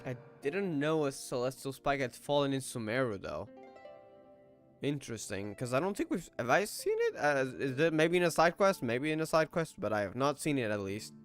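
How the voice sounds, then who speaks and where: A man comments casually on a game story, wondering aloud and questioning what he hears. His voice sits at an ordinary pitch. Faint game music runs behind him. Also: big pitch swings, normal speed. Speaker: game streamer.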